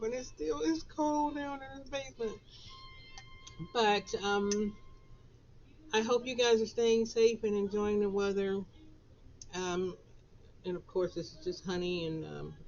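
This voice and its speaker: A woman in her sixties with a Southern US accent, raspy after a flu, chatting casually to camera from her home office. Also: on the high side, a little mellow, muffled recording. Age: 60s. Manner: chatty, drawn-out, laughs between phrases. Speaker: author and vlogger.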